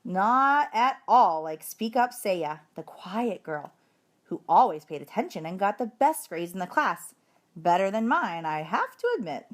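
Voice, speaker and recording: A woman reading a children's story aloud in an expressive, emphatic storytelling manner. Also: medium pitch. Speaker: storybook reader, teacher.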